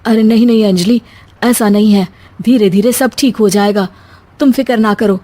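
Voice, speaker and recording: A woman voicing a gentle young village husband, a farmer, speaking softly and placatingly in a cartoon story. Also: mid-range voice, moderate pace, close to an everyday voice. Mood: soothing. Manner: soft, placating. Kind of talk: animated Hindi story dialogue.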